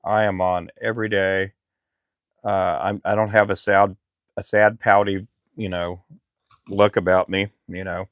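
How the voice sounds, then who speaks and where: A middle-aged man who makes fishing lures, chatting casually on his live stream in a relaxed, rambling way with lots of fillers. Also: low-pitched, unhurried, no room sound, clean studio sound. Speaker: lure maker live streamer.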